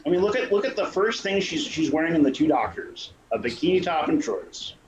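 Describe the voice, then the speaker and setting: A man's voice: on the high side, very animated, a little fast, muffled recording. A man chatting casually on a panel in a joking, teasing manner. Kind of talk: casual chat. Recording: echoey room with background noise.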